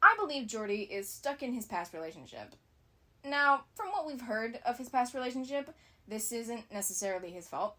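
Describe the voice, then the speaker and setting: A woman's voice: on the high side, sing-song, normal speed, bright, forceful tone. A woman giving scripted analysis commentary as a video essayist, with casual asides.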